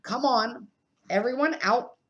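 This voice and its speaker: A woman's voice: on the high side, unhurried, a bit bright, dull audio, voice pushed up. A woman voicing a gleeful, blustering uncle in a storybook, cheerful and emphatic.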